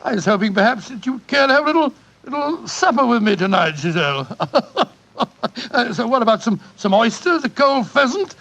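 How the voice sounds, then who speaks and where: An actor playing a bumbling, smitten older English gentleman in a 1940s radio drama, with a British accent and a stammering, chuckling manner. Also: extremely high pitch, sing-song, moderate pace, full character voice. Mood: flustered, smitten. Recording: vintage radio recording with organ music.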